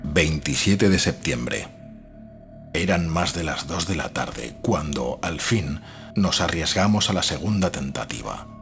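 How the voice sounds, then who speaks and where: A man's voice: very deep voice, expressive, unhurried, creaky. A man reading gothic fiction as an audiobook narrator, in a scripted, dramatic style. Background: faint music.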